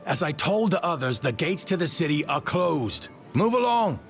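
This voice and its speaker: An actor playing a brusque, impatient city gate guard in a video game, curtly turning people away. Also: male voice, fairly high pitch, very animated, normal speed, faint music behind. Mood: curt, warning. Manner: curt, impatient. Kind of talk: video game dialogue acting.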